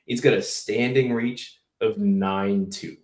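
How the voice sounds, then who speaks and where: A man co-hosting a casual basketball podcast, excitable and leading the talk. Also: on the low side, slow, reverberant, recorded from a distance. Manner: excitable, hype, leading the discussion. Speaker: podcast co-host. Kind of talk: casual sports podcast chat.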